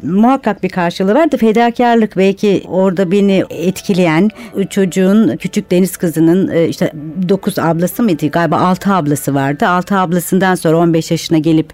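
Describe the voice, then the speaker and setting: Woman writer on a radio show, lowish mellow voice, takes her time retelling myths. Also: moderate pace, few pauses. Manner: thoughtful, retelling myths at length. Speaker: author and radio guest. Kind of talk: conversational interview. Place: radio studio.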